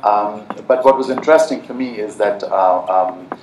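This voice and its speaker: A man's voice: lowish voice, moderate pace, darkish tone, a little hiss or hum. A man from Nepal speaking earnestly on a conference panel, with many fillers and restarts. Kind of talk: panel talk. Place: large conference hall. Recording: echoey hall from far off.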